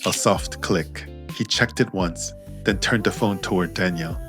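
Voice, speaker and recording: A man narrating scripted fiction as an audiobook, in a measured, understated manner. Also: low-pitched, fairly bright tone, soft background music. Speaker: author and narrator.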